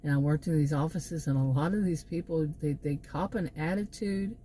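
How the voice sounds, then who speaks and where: A woman's voice: sits low, moderate pace, dark tone, a little hiss or hum. A middle-aged woman with a Texan accent, talking in a meandering opinion monologue full of asides and restarts. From Texas. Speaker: channel host.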